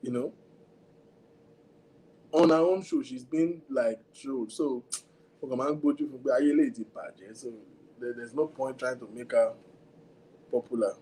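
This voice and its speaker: Chatty Nigerian man, mellow voice. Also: medium pitch, normal speed, long pauses, slight background noise, low-quality recording. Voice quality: self-described big voice. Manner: rambling, code-switching, chatty, talks to viewers directly. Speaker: livestream host. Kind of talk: casual livestream chat.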